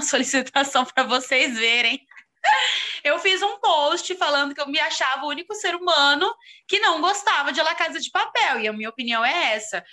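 A woman with a Brazilian accent chatting casually, telling her side in an animated, mock-indignant way. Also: high-pitched. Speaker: actress on the show. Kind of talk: casual chat.

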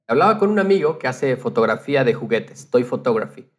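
A man's voice: medium pitch, lively intonation, a little fast. A man in casual podcast conversation, telling anecdotes with retold quotes and the odd English loanword.

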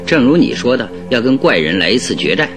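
A man playing a shrewd, calm detective in a Mandarin radio drama, speaking deliberately and with emphatic instruction. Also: medium pitch, over music. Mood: firm, instructing. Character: shrewd, commanding male detective, calm and exacting.

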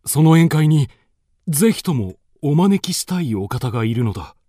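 An actor playing a dignified, lovestruck duke in a radio drama, speaking in a lordly, formal, theatrical and earnest way. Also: male voice, a little high, very animated, normal speed, warm tone, dry recording, studio quality. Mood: confiding.

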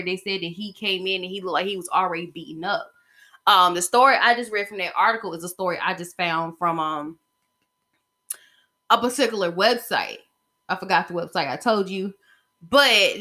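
A woman's voice: medium pitch, bright, forceful tone. An American woman in her thirties chatting casually alone as a podcast host, rambling in a sassy, slangy way. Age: 30s. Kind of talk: casual solo podcast chat.

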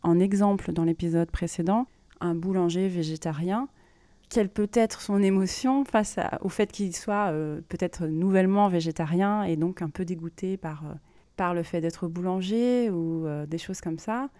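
A woman hosting a podcast, explaining things informally in a monologue and pausing as she thinks aloud. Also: on the low side, dark tone, no echo. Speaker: podcast host and creative writing workshop leader.

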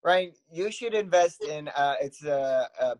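Wisecracking young man, somewhat high voice. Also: expressive, a little mellow. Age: 20s. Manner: teasing, quick wisecracks.

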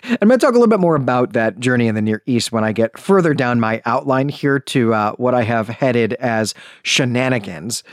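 A man's voice: medium pitch, no echo, studio quality. A man hosting a podcast, giving an informal but prepared talk in an enthusiastic, bookish and emphatic manner. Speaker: podcast host.

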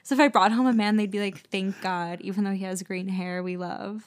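A woman's voice: medium pitch, fairly even intonation, a little fast, clear, resonant tone. A young woman from Wisconsin with a slight Wisconsin accent, co-hosting a podcast in casual chat and filling her speech with "like". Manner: chatty, filler-heavy, many likes. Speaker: podcast co-host.

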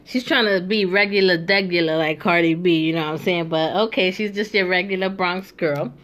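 A woman's voice: on the low side, a little fast, fairly bright tone. A young woman hosting a casual chat, talking loosely with many asides and fillers. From New York. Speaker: host.

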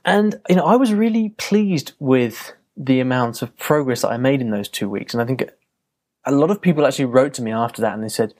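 A man's voice: mid-range voice, lively intonation, a little fast, a little mellow. A man hosting a podcast, talking on his own in a reflective, loose way with frequent fillers and restarts. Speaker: podcast host. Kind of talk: casual solo podcast monologue.

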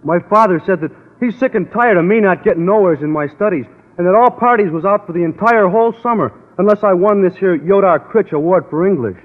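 An actor playing a dim, good-natured teenage boy athlete, sheepish and slow-witted, in a 1940s radio comedy. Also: high-pitched. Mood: glum. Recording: tinny, hissy old radio recording.

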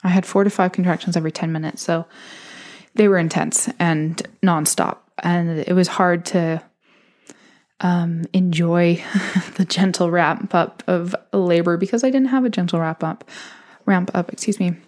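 A woman's voice: fairly low pitch, steady tone, normal speed, darkish tone. A young woman telling her own story in a candid, chatty way, laughing often and mimicking voices when she quotes. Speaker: podcast host telling her birth story. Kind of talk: casual personal storytelling.

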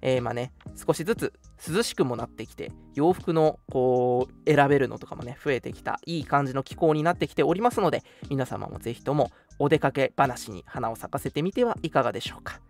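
A young man hosts a casual radio talk with lively, swinging intonation. His phrasing is polite and presenterly, at a medium pitch, over background music. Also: fairly quick, gravelly, hardly any pauses. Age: young adult. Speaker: radio show host. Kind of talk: casual live radio chat.

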